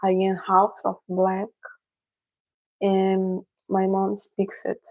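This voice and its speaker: Brazilian livestream host, young woman, gravelly voice, halting English, relaxed chat. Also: medium pitch, muffled recording. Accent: Brazilian Portuguese. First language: Portuguese. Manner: halting, searching for English words. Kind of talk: casual livestream Q&A, answering chat.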